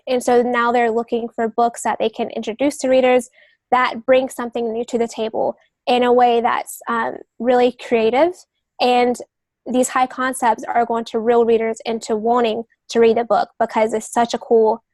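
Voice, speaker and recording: Female podcast guest expert, explanatory and conversational. Higher pitch, little melodic movement. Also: a little mellow, dry recording. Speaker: guest expert, novelist and agent.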